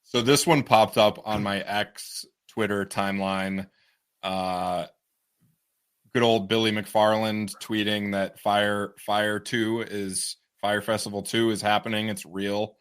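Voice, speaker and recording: A relaxed man co-hosting a casual news podcast. His voice sits on the low side. He laughs easily as he tells the story. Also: slow pace, clean tone. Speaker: podcast co-host.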